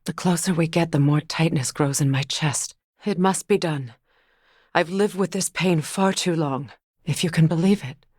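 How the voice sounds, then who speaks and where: A low-voiced, solemn sorceress. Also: female voice, a little mellow, breathy edge, no room sound, clean studio sound. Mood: pained, reflective. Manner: measured, solemn, formal phrasing. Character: grave, sorrowful woman of magic, exiled from home. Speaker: video game voice actor. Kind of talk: dramatic game character dialogue.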